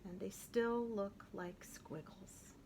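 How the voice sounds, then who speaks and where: A woman's voice: mid-range voice, slow, noisy background. A woman voicing a resolute woman over a hundred. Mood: wistful.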